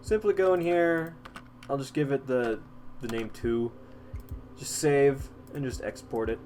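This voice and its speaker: A man hosting a casual software tutorial, explaining step by step in an informal, halting way. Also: mid-range voice, a little hiss or hum. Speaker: tutorial host.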